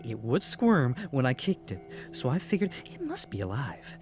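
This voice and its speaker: A man voicing a storyteller who recalls a strange creature with halting, stammering speech. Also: mid-range voice, huge pitch swings, darkish tone, soft background music.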